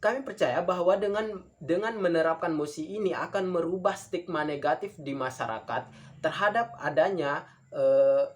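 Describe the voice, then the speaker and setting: A man gives a formal debate speech as a student speaker, earnest and halting, often repeating phrases for emphasis. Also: fairly high pitch.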